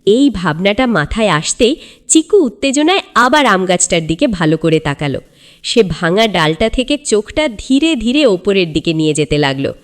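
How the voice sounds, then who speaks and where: A woman's voice: mid-range voice, big pitch swings, normal speed. A woman narrating a scripted children's story in a dramatic, suspenseful storyteller's manner.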